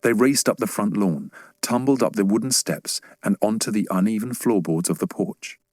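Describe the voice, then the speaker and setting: A man's voice: lowish voice, normal speed, a little mellow, dry recording. A man reading a scripted children's story as an audiobook narrator.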